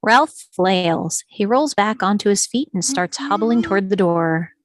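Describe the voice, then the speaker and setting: A woman's voice: mid-range voice, animated, measured pace, a crowd in the background. A woman reading screenplay stage directions as scripted narration.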